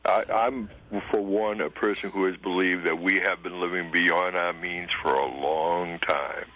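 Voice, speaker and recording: A man's voice: sits low, fairly even intonation, a little slow, bright, forceful tone, low-quality recording. A man calling in to a radio show, sharing earnest, firm opinions. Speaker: caller. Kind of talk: call-in radio chat.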